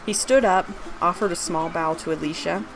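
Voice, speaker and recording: A woman's voice: fairly low pitch, normal speed, noisy background. A woman reading her own fantasy story aloud, narrating scripted prose and its dialogue.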